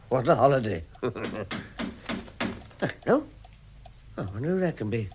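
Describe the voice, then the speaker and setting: An actor with an English accent playing a fussy, warm, excitable little hobbit host, stammering and flustered in a vintage radio drama. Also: male voice, medium pitch, slow pace, noisy background. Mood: musing, flustered. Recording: vintage radio recording with music.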